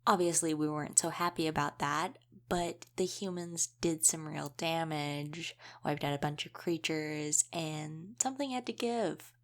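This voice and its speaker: A woman's voice: low-pitched, measured pace, hardly any pauses. A woman playing an easygoing young female wolf shifter in a casual audio roleplay, chatting and explaining things informally. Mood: relaxed explaining.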